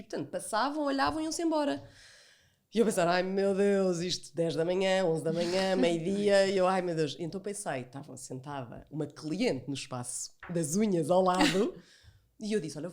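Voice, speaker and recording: A woman's voice: fairly low pitch, darkish tone. A woman with a European Portuguese accent, telling stories as an interview guest, chatty, acting out remembered dialogue and repeating phrases for emphasis. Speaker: podcast guest, entrepreneur. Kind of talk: animated storytelling in an interview.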